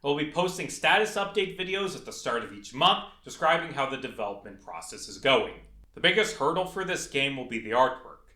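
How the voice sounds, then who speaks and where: A man's voice: mid-range voice, animated, fairly quick, few pauses, bright, forceful tone. A young adult man narrating a scripted video essay as a game developer. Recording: echoey, reverberant room.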